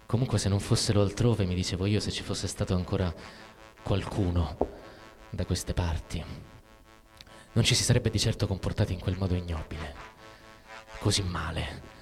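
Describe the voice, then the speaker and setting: An actor playing a frightened, cynical soldier who tells of war in a halting dramatic literary monologue, repeating words and breaking off mid-phrase. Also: male voice, deep voice, fairly even intonation. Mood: subdued, brooding. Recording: music under the voice. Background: music.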